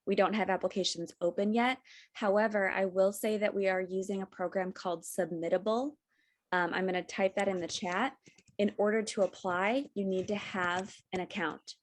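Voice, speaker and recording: Medium-pitched woman explaining practical details. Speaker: program representative. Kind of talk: informal explanation of application details. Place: online group video call.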